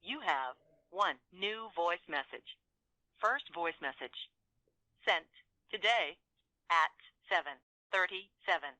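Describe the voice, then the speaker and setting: An automated female voicemail system voiced by a woman. The voice is bright and crisp-edged, fairly low for a woman. It speaks slowly in segmented, impersonal phrases. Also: expressive, no echo, heavily acted. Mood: neutral, impersonal. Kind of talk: automated phone announcement.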